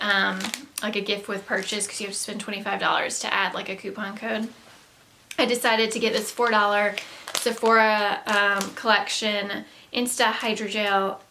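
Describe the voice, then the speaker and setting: A woman beauty vlogger with a Southern US accent talks casually to camera. Her voice is bright and forward, with frequent vocal fry, and sits at an average pitch for a woman. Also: flat delivery, normal speed, slight background noise. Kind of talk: casual chat to camera, product haul review.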